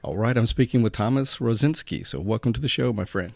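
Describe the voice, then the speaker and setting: A man's voice: medium pitch, animated, fairly quick, vocal fry, darkish tone, faint music behind. A man hosting a podcast, reading a scripted intro and then chatting with his guest in a friendly way with fillers. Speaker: podcast host.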